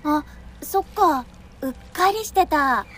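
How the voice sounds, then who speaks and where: A woman playing a gentle, earnest high school girl in a radio drama, with casual youthful phrasing. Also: expressive, slow pace, a little hiss or hum, heavily acted.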